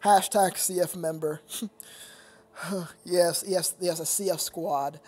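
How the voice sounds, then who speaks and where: A man's voice: high-pitched, steady tone, slow pace, a little mellow, slightly rough. A young man chatting casually with viewers on his live stream, rambling and stammering with frequent laughter.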